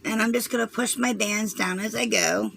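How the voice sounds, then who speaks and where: A hoarse woman with a Southern US accent, rambling through a craft tutorial and often clearing her throat as she fights a cold. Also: medium pitch, normal speed, background noise. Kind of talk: chatty loom tutorial.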